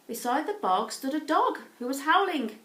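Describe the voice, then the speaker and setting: A woman narrating a children's picture book in a deliberate, expressive teacher's read-aloud style. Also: high voice, roomy sound. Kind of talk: storybook narration for young children.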